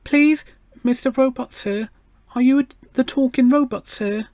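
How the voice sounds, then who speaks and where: Man putting on a high, polite little girl. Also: darkish tone, dry recording, studio quality. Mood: hesitant, polite. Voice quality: treble. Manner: polite, halting, childlike.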